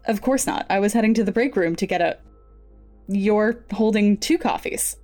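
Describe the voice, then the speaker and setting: An actress playing a cool, businesslike research supervisor with a clipped, formal manner in an audio drama. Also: female voice, mid-range voice, moderate pace, darkish tone, soft background music, natural voice. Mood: guarded. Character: brisk, guarded, businesslike female research supervisor.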